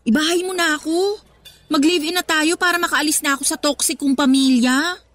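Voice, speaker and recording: High-voiced, exasperated young girlfriend. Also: steady tone, fairly quick, fairly bright tone. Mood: pleading. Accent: Filipino. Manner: dramatic, emphatic, slangy venting. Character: exasperated young working breadwinner girlfriend, dramatic and complaining. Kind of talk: Tagalog radio drama acting.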